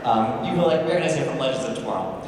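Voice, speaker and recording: A man's voice: mid-range voice, expressive, very fast, noisy background. A man moderating a panel and running a quiz game in a playful, teasing way. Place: large convention hall. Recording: echoey hall taped from far off.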